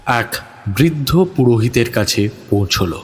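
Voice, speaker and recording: A man narrating a horror thriller audio story in a dramatic, suspenseful way with deliberate pauses. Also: mid-range voice, animated, noisy background.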